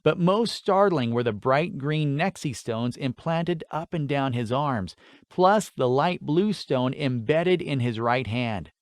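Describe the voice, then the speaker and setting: Casting: male storyteller for fantasy audiobook narration, medium-pitched voice, very dry recording. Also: animated, takes it slowly, dry recording.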